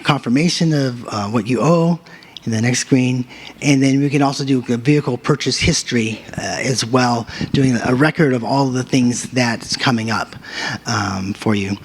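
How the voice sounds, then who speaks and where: A man's voice: mid-range voice, moderate pace, slightly rough. Man giving a loose live app demo. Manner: loose, filler-heavy walkthrough. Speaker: hackathon team presenter. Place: conference stage.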